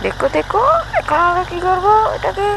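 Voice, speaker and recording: A woman's voice: very high voice, fairly quick, gravelly, background noise. A woman giving casual game commentary for small children, in a childlike, repetitive, exclaiming patter. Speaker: gameplay video host.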